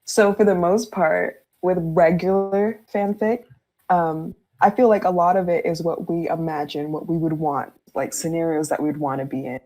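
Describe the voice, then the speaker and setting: A woman's voice: lowish voice, fairly even intonation, moderate pace, warm tone, poor audio. A woman speaking candidly and informally on a podcast, explaining her personal views in a casual conversational style.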